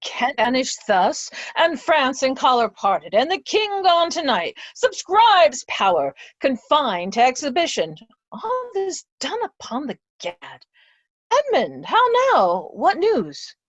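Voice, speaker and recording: A woman's voice: sits high, wildly swooping pitch, slow pace, dry recording, muffled recording, heavily acted. A woman playing an aging, credulous earl and easily outraged father in a theatrical Shakespearean drama reading. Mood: suspicious, blustering. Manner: theatrical, exclamatory.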